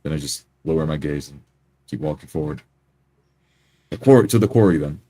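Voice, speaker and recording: A man improvising first-person narration as a tabletop roleplay player, speaking in a halting, hesitant way. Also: very deep voice, animated, dark tone.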